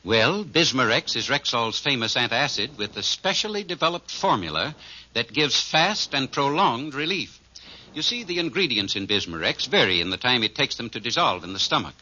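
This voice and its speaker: A man playing an assured family druggist in a 1940s radio advertisement, explaining in a measured, scripted pitch. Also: very animated, bright, forceful tone, heavily acted. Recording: dull vintage radio recording.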